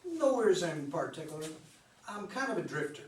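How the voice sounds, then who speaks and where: A man's voice: sits high, big pitch swings, normal speed. A man acting an aging, rascally rural drifter in a play reading, with a folksy American accent and an easygoing, evasive air. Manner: folksy old-time rural dialect. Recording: distant mic, echoey room, faint noise.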